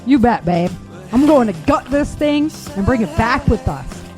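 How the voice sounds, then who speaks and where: A woman's voice: on the high side, expressive, moderate pace, over music, close to an everyday voice. An actress playing a capable, tough woman survivor in an audio drama. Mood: brisk, willing.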